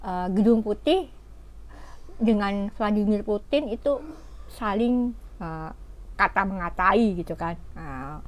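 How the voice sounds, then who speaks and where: A woman's voice: medium pitch, animated, takes it slowly, pauses often. A woman giving an informal history talk, explaining in a conversational way with rhetorical asides. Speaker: history storyteller. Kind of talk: informal spoken history lecture.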